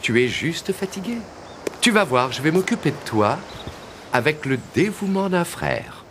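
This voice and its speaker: A kind young prince speaks in a fairly high male voice with lively swings of pitch. He sounds courteous and reassuring, in cartoon fairy-tale style, over clear noise. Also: a little slow. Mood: gentle, reassuring. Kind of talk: dubbed animated fairy-tale acting.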